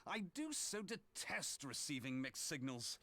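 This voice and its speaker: A man's voice: medium pitch, very animated, measured pace, pushed and bright, no room sound, heavily acted. An actor playing a suave, roguish male spy in a video game, speaking with dry, teasing wit. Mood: wry.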